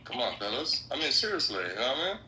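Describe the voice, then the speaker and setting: A man's voice: medium pitch, quick pace. Blunt man, echoey room. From Morocco. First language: Arabic. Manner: blunt, animated, swears. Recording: echoey room with faint noise.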